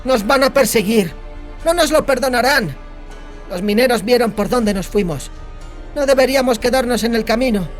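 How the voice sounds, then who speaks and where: A man's voice: very high voice, moderate pace, faint music behind, pitched above the performer's own voice. A man voicing a frightened young woman on the run, breathless and urgent, in a dramatised audiobook.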